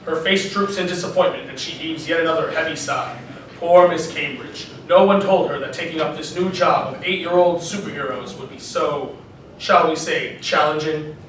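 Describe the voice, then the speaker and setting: A man's voice: fairly high pitch, normal speed, fairly bright tone. A man narrating a scripted comedic story, reading it live. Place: panel room at an anime convention. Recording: very echoey room, distant mic, faint noise.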